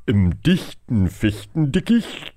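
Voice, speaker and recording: A man voicing a big, friendly cartoon elephant from a children's audio play, drawn-out and deliberate. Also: mid-range voice, sing-song, dark tone. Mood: jolly, ponderous. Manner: drawn-out, deliberate delivery with trumpeting.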